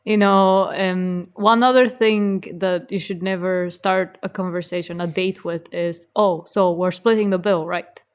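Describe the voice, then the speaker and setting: A woman from Bulgaria, co-hosting a podcast in relaxed, chatty talk with soft agreeing murmurs. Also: on the low side, a little slow, few pauses, smooth. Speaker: podcast co-host. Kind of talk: casual chat.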